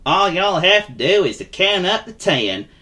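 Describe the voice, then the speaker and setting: A man voicing a kindly, gentle vagabond in a storybook, talking in a folksy, soothing way. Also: high voice, very animated, normal speed, voice pushed up, full character voice. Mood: reassuring.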